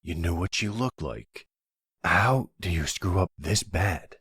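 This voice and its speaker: A man's voice: animated, slow pace, fairly bright tone, dry recording, studio quality, exaggerated voice. A man playing a weary, exasperated but courteous mafia boss in an ASMR audio roleplay, with wry, colloquial delivery. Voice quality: deep, smooth, gravelly creak. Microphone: close, dry microphone.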